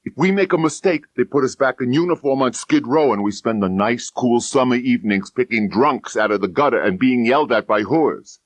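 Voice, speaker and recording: A man's voice: medium pitch, fairly quick, few pauses, no echo, pitched above the performer's own voice, close to an everyday voice. A man voicing a bitter, exhausted, hard-boiled police detective in an audiobook crime story, ranting and aggrieved in slangy speech. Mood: bitter, worn-out rant. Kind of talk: dramatised audiobook crime dialogue.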